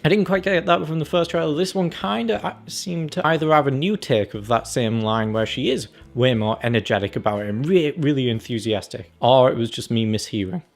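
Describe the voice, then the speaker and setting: A man's voice: mid-range voice, a little fast, few pauses. A man giving a casual, chatty trailer review as a channel host, acting out his reactions as he goes. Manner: chatty, acts out reactions and quotes.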